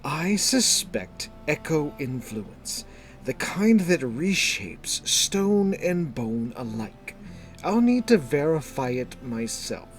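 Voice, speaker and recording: A man playing a cold, deliberate masked viscount. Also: on the high side, sing-song, faint music behind, pitched above the performer's own voice. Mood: sharp, suspicious. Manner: measured, deliberate, precise.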